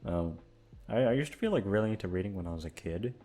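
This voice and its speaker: A man's voice: fairly low pitch, lively intonation, quick pace, warm tone. A young man chatting casually to his listeners in an informal, rambling, sweary way. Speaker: audio channel host. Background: guitar music.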